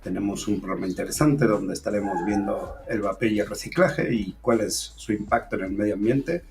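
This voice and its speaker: A man hosting an online interview show, speaking in a halting way with frequent fillers. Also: on the low side, a little mellow. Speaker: host.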